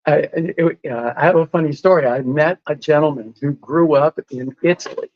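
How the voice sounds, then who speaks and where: A man's voice: fairly high pitch, normal speed, dull audio. A man in a casual podcast conversation, explaining whole foods in a patient way and laughing often. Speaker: lifestyle medicine advocate.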